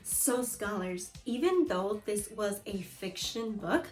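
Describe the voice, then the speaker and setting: A woman's voice: medium pitch, expressive, takes it slowly, soft background music. A woman teaching young children through a read-aloud lesson, reading from a book and prompting pupils with questions and short instructions. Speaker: second-grade teacher.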